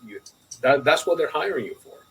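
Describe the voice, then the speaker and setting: A man co-hosting a casual business podcast, talking in a halting, repetitive way and checking the listener follows. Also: a little high, animated, vocal fry, fairly bright tone, slight background noise. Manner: halting, repetitive, often asks "you know what I mean". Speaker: podcast co-host and accountant. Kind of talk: casual conversational business talk.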